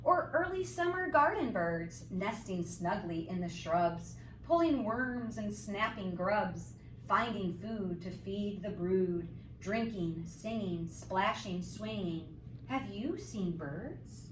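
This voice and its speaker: A woman reading a picture book aloud to young children like a teacher, with expressive, sing-song, emphatic phrasing and simple explanatory asides. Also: mid-range voice, slow pace. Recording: roomy, distant mic, faint background noise.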